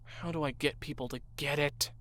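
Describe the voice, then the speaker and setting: A man's voice: a little high, fairly even intonation, fairly quick, heavy background noise, close to an everyday voice. An actor playing an obsessed young man who sounds tired and withdrawn. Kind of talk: audio drama dialogue.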